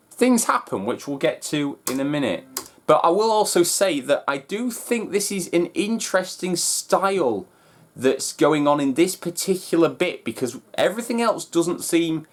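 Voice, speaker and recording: Guy doing a reaction vid, kinda high voice, super animated n emphatic, casual chat. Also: a little slow, a little hiss or hum. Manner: enthusiastic, emphatic. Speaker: host of a fan reaction channel. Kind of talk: excited trailer reaction and casual breakdown.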